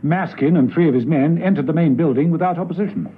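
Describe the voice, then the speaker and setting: A man's voice: on the high side, fast talker, slightly rough. A man narrating a spy radio drama in a dramatic, scripted storytelling style. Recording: tinny, noisy old radio broadcast.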